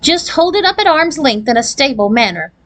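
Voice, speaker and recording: A woman's voice: high-pitched, big pitch swings, fairly quick, fairly bright tone, voice pushed up. A woman voicing an anxious, self-important fairy godmother who talks in pompous, formal officialese for a storybook. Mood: patient, businesslike. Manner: pompous, formal bureaucratic wording.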